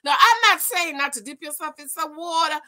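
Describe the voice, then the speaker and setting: A woman with a very high, forceful voice gives informal reaction commentary. She is animated and exclamatory, dragging out key words and repeating phrases for emphasis. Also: fairly quick. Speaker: host of a commentary channel. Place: small room at home, indoors.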